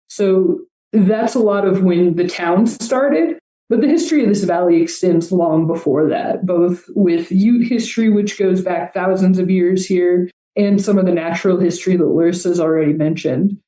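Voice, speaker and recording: A roomy recording of a woman a little away from the microphone, with a lowish, mellow voice. She is an interview guest telling local history in a conversational, storytelling style. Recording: echoey room, distant from microphone.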